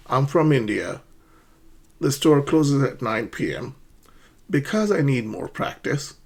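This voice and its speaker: A man's voice: mid-range voice, gravelly, a little mellow, slightly rough. A man teaching an English lesson, patient and encouraging, often checking in with "right?" and acting out sample questions. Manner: acts out sample questions with rising intonation. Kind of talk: ESL lesson.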